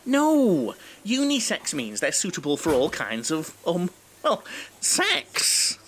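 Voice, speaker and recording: An actor playing a chatty, fussy, jokey coach passenger in a comedy audio play, with a flustered, prattling manner. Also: male voice, on the high side, wildly swooping pitch, takes it slowly, a bit bright, a little hiss or hum, heavily acted.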